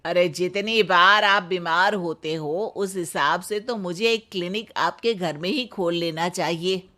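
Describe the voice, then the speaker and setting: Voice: mid-range voice, measured pace, sharp tone. कलाकार की आवाज़ में धीमे बोलते व्यावहारिक डॉक्टर साहब. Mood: wry. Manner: matter-of-fact advising. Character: wry, practical family doctor, adult man. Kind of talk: comic moral story dramatization.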